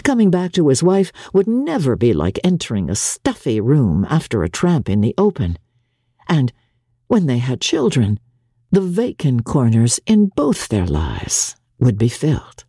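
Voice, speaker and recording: A woman reading a classic novel aloud as a dramatic audiobook narrator, in a measured, expressive way with deliberate phrasing. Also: extremely low pitch, slightly rough, no echo, clean studio sound.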